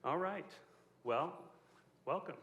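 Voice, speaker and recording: A man's voice: a little high, expressive, slow pace, fairly bright tone, muffled recording, a little hiss or hum. A man hosting a live on-stage interview at an event, conversational and a bit apologetic, speaking into a handheld mic. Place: event space with a small audience. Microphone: handheld microphone. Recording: roomy live venue.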